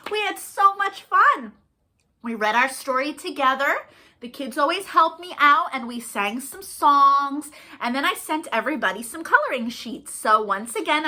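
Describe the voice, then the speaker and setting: Bright, high-pitched woman hosting children's storytime. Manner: playful, encouraging, talks directly to young children. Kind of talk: children's storytime read-aloud with warm-ups.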